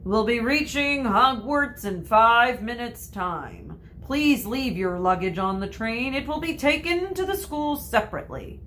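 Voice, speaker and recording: A woman voicing a formal train announcement in a storybook, speaking slowly and deliberately. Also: fairly high pitch, animated, a bit bright, slight background noise, voice pushed up.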